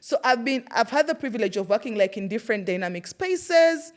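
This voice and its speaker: A woman's voice: a little high, fairly quick. A young Ugandan woman with a thick Ugandan English accent, hosting a personal reflective monologue and telling stories with laughter and plenty of fillers. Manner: animated storytelling with laughter and fillers. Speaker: host.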